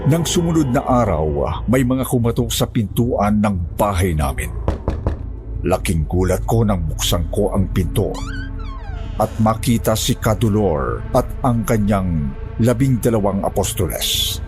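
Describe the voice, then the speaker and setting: A man's voice: lowish voice, animated, unhurried, over music. A man reading scripted first-person narration as the narrator of a horror story.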